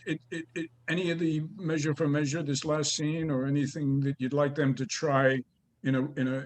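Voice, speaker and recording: A man teaching an acting class, praising students and giving notes, often restarting his sentences. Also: fairly high pitch. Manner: warm praise, stammering restarts.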